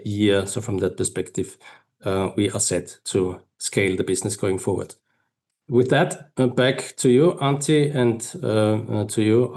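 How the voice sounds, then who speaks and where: Low-voiced, halting man presenting financial results. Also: darkish tone. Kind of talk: earnings call presentation and answers.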